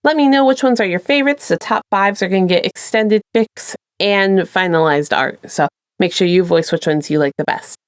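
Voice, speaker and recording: A woman's voice: medium pitch, moderate pace, clean tone, dry recording, clean studio sound. A woman presenting and reading her own fan fiction as a podfic narrator, in an informal storytelling style. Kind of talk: casual intro and scripted story narration.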